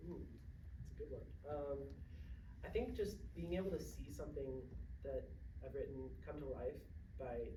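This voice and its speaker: Male playwright, mid-pitched, conversational and halting, in a roomy, noisy space. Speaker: playwright at an audience talkback. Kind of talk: casual spoken Q&A. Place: indoor theatre room, mic far from speaker. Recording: roomy, distant mic, noisy hall.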